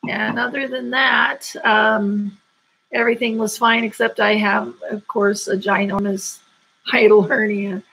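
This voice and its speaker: Hoarse gravelly woman in her sixties. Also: mid-range voice, level tone, measured pace, a bit bright, poor audio. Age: 60s. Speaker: co-host. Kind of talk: casual live-stream chat. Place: home.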